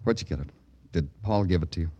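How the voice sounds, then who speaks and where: An American actor playing a tough, brooding, terse big-city police detective in a 1950s radio crime drama. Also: male voice, sits low, fast talker, dark tone, dry recording, highs cut off. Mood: grave, probing. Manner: terse, hard-boiled, slangy. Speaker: detective and narrator. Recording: noisy old radio recording.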